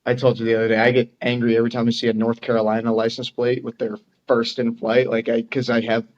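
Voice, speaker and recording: A man's voice: medium pitch, monotone, fast talker, darkish tone, dull audio. An American man talking as a podcast guest in casual chat, easygoing, plain-spoken and loosely phrased. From Dayton, Ohio, USA. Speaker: podcast guest, air traffic controller.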